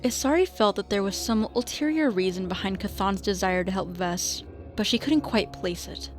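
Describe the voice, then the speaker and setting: A woman's voice: medium pitch, normal speed. A woman reading scripted narration for a radio drama. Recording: guitar music under the voice.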